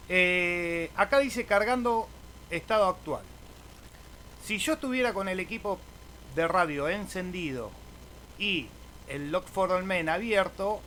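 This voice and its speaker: A man's voice: a little high, a bit bright, slight background noise, low-quality recording. A man with an Argentine Spanish accent explaining things informally as a video host, hesitating and adding little checking tags. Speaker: amateur radio operator and video host. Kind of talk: informal screen tutorial.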